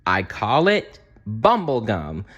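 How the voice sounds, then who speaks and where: Boastful storybook mad scientist, played by a male reader, grand showman delivery, animated swings in pitch. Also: takes it slowly, highs cut off, faint music behind, heavily acted. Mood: boastful showmanship. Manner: grand showman announcing and bragging.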